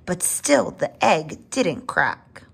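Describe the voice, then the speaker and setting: A woman narrating a children's picture book aloud, with expressive, deliberate phrasing and drawn-out words. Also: fairly low pitch, vocal fry, slightly rough. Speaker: children's storybook reader. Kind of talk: read-aloud storybook narration.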